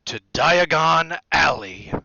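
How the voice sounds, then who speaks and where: A man acts out a huge, hearty storybook giant. He talks loudly and bluntly in folksy, colloquial speech, gruff but good-natured, with a lively swing in the pitch. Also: slow, no echo, muffled recording, full character voice. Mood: proud.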